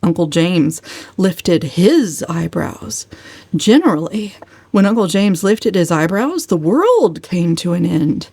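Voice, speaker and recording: Expressive woman narrator, close dry sound. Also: lowish voice, slow, breathy edge, no room sound. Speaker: audiobook narrator. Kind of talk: dramatic scripted narration.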